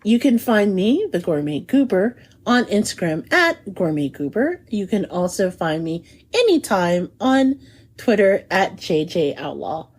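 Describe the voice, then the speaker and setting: A woman's voice: medium pitch, a little mellow, breathy edge. A woman co-hosting a food podcast in casual chat, bubbly and chatty, laughing often. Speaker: podcast co-host.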